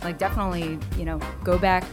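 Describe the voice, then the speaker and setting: A woman's voice: lowish voice, little pitch movement, fast, over loud music. A woman co-hosting a podcast in a casual chat, bubbly and teasing, laughing often. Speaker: podcast co-host.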